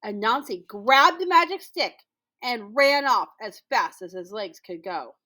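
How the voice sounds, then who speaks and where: Female school storyteller, high-pitched, expressive picture-book reading with emphatic, drawn-out repeated words. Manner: emphatic, with drawn-out repetitions for effect. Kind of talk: expressive children's picture-book read-aloud.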